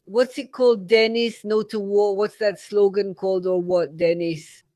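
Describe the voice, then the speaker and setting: A man's voice: sits high, fairly even intonation, moderate pace, a little mellow, no room sound. A middle-aged man, a native Russian speaker, hosting a radio talk show and telling a story by acting out both sides of a conversation. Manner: retells scenes acting out both sides of the dialogue. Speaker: radio host.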